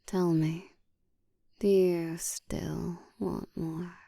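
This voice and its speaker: A woman playing a dominant, seductive vampire in a whispered roleplay, speaking in a breathy, deliberate, teasing way. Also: lowish voice, level tone, mellow, no room sound, clean studio sound, heavily acted. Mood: teasing, commanding. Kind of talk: whispered roleplay audio, ASMR.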